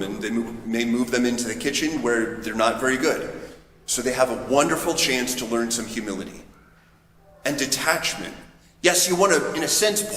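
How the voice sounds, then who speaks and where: A man's voice: mid-range voice, fairly bright tone. Man preaching in an echoing church. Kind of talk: sermon, anecdotal and conversational. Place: large reverberant church interior. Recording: echoey church, long reverb, background noise.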